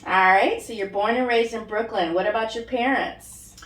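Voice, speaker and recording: A woman interviewing a guest, asking short friendly questions and answering with quick "all right"s. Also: a little high, fairly bright tone. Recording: echoey room, mic at a distance.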